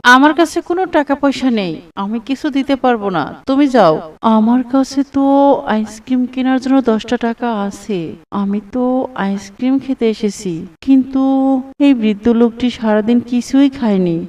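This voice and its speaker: A woman's voice: fairly high pitch, normal speed, a little mellow, far from the mic, close to an everyday voice. A woman voicing an earnest, pious and polite young schoolboy in a cartoon.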